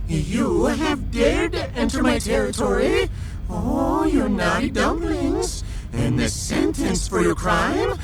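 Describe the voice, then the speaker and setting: A man's voice: extremely high pitch, heavily acted. A man voicing a giant female alien caterpillar in a sci-fi audio drama, menacing and theatrical, cooing sweet endearments amid threats. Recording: loud music behind.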